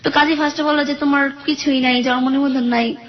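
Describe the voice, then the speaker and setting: Young woman caller rambling thru her story, high voice, talks fast, muffled radio sound. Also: steady tone. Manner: rambling, acts out quoted speech. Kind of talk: casual personal storytelling. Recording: dull lo-fi phone or radio audio.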